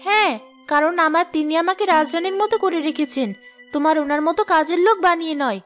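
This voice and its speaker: A woman's voice: very high voice, fast talker, a bit bright, faint music behind. A woman playing a vain, quarrelsome young village wife in a cartoon, with a haughty, complaining manner. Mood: haughty, scornful. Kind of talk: cartoon voice acting.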